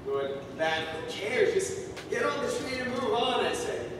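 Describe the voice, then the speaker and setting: A young man playing a cynical, sleepy, sarcastic stranded traveler in a high school stage play. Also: big pitch swings, unhurried, heavily acted. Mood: grumbling. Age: young adult. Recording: echoey hall from far off, room noise.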